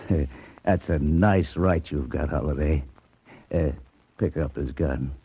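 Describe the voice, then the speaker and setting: An actor playing a sardonic, exasperated police lieutenant in a 1940s radio drama, with a dry, gruff, husky delivery. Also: male voice, a bass voice, lo-fi sound, a little hiss or hum. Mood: dryly amused. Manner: dry, sarcastic drawn-out gruffness.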